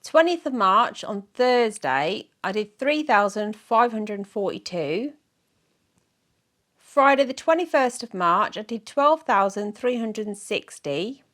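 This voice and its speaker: A middle-aged woman with a croaky, sore-throated voice, chatting casually to camera in a rambling, giggly, self-mocking way. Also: medium pitch, animated, unhurried, fairly bright tone. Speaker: weight-loss vlogger.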